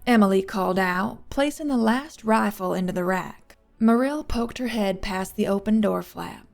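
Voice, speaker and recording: A woman's voice: medium pitch, moderate pace, creaky, soft background music. A woman reading scripted third-person fiction as an audiobook narrator.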